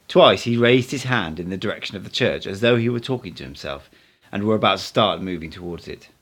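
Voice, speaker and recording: A man with a slightly low voice narrates a book. He is an amateur reader delivering scripted, first-person literary prose. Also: fairly bright tone.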